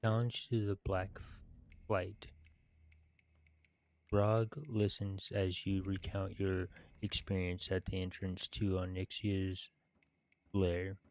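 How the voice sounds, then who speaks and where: Low-pitched, slow, even-toned man reading aloud. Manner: deliberate, measured phrasing with short pauses. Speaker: video game player reading quests aloud. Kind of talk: scripted read-aloud with casual gameplay narration.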